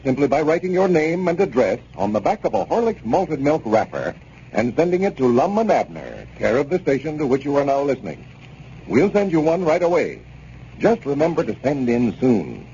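A man's voice: mid-range voice, dark tone. A man working as a 1930s radio announcer, reading a script in a polished sales manner. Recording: noisy, dull 1930s radio recording.

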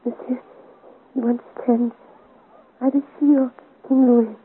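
Actress as a slow, frail dying young woman. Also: on the high side, level tone, natural voice. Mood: urgent, failing. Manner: halting, weak, broken phrases. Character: frail dying young woman, poisoner's daughter, mournful and resigned. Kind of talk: 1950s radio serial acting. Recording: scratchy, tinny 1950s radio recording.

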